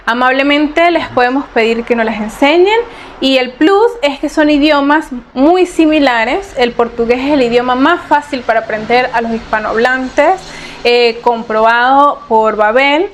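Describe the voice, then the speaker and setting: A woman from Venezuela answering interview questions in an earnest, advice-giving way, pausing often on "eh". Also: high-pitched. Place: Portugal.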